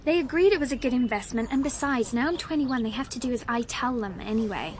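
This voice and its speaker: A woman's voice: fairly high pitch, animated, quick pace, natural voice. A young woman acting a sweet, devoted, earnest heiress in a radio play. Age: young adult.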